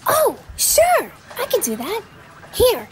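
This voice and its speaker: Young female police assistant: eager, apologetic, very high and swooping, audio drama. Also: huge pitch swings, slow, noisy background, full character voice. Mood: eager, sweet.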